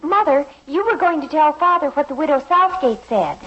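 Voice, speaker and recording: A headstrong girl in an old radio family play. The voice is high and swoops expressively as she pleads and complains, through a dull vintage recording. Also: lively intonation, moderate pace, a bit bright, a little hiss or hum. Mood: whiny pleading. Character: whiny, headstrong young daughter of the family. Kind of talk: 1950s American radio drama acting.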